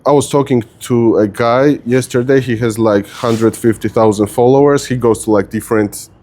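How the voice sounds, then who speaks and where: A man's voice: medium pitch, steady tone, normal speed, dark tone. Man asking questions. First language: Georgian. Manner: direct, non-native phrasing, asks short questions. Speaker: podcast host and wrestling camp organizer. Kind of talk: casual interview.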